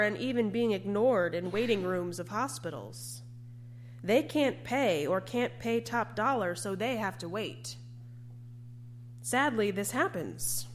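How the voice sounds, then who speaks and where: Higher-voiced woman preaching over background hum. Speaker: preacher giving a sermon. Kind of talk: scripted sermon.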